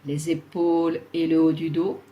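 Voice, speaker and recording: A woman leading a guided yoga relaxation, speaking soothingly and leaving long pauses between short instructions. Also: deep voice, monotone, dark tone.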